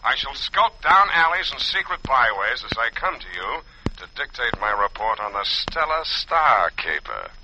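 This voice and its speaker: An actor playing a wisecracking, swaggering private detective, mock-heroic and florid, in a 1940s radio drama. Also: male voice, mid-range voice, very animated, normal speed, highs cut off. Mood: conspiratorial.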